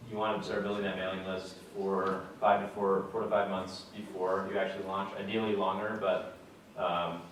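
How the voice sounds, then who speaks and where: A young man giving a casual talk to a student audience, relaxed and plain-spoken with many fillers. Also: lowish voice, slight background noise. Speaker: guest speaker. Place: lecture room with audience. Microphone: far camera. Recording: echoey room from far off.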